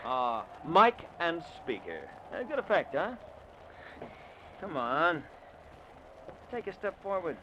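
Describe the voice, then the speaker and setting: A man's voice: a little high, sing-song, slow, long pauses. A man playing a gloating, taunting murderer in an old-time radio thriller, with theatrical delivery. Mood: taunting, impatient. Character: gloating male murderer posing as a ghost. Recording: dull, noisy vintage radio recording.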